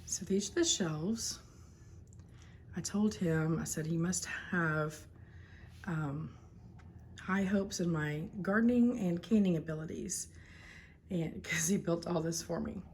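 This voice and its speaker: A woman with a Southern US accent chatting casually and informally to viewers as a home video host, her voice slightly hoarse and recovering. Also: on the low side, slow, pauses often, darkish tone, slight background noise. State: slightly hoarse after a cough and lost voice.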